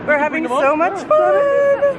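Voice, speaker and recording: A woman vlogger chatting to camera in an upbeat, excited, casual way. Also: very high voice, slow, heavy background noise. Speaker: vlogger, channel host. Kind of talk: casual event vlog to camera. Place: outdoors at a busy festival. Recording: loud crowd and music behind.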